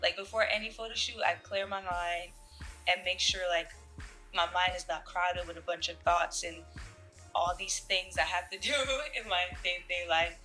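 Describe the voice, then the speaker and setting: A young woman from Jamaica, a fashion model, answering interview questions in a relaxed way with fillers and soft laughs. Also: lowish voice, moderate pace, soft background music, low-quality recording.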